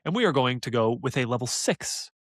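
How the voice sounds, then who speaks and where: A man co-hosting a casual fan podcast, talking excitedly and pitching ideas with laughs. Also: fairly quick, no room sound, clean studio sound. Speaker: podcast co-host.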